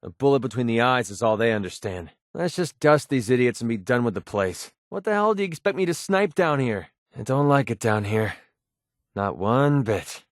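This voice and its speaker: A man's voice: medium pitch, dry recording, studio quality, close to an everyday voice. A man playing a cynical, wisecracking young mercenary sniper in a video game, speaking in an offhand, grumbling, sardonic way. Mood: wary, scornful, then grumbling, uneasy. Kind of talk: video game companion dialogue.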